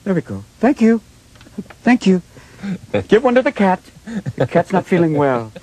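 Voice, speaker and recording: A man's voice: high-pitched, very animated, normal speed, warm tone, voice pushed up. A man voicing a self-absorbed, doting Los Angeles mother with cooing, affected sweetness. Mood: sugary cooing.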